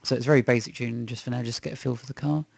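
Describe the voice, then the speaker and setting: A man with a croaky, rough-edged voice talks his way through setting up a racing game on a live stream, relaxed and thinking aloud. His pitch sits in the middle, and the close microphone sounds dry, with no room around it. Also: rapid-fire, no echo. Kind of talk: casual gaming stream chat. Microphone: desk microphone.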